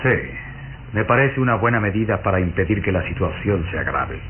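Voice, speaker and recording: Suave scheming count, low voice. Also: male voice, very animated, fairly quick. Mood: smooth, courtly. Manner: whispering, insinuating, courtly. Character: pale suave count, intense, scheming suitor. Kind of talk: old radio serial acting. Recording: muffled, hissy old radio serial recording.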